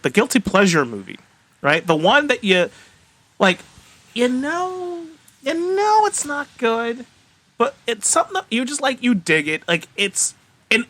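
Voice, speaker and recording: A man's voice: sits high, bright, forceful tone. A man co-hosting a podcast in casual film talk, with a theatrical manner and a habit of repeating himself as he builds to a point. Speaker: podcast co-host. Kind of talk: casual chat about films.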